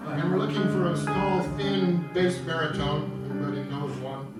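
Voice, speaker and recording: A man's voice: a little high, flat delivery, moderate pace. Guy explaining his show to a room, super echoey and far from mic, music behind. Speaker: writer presenting his opera at a table read. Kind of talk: informal introduction to an audience.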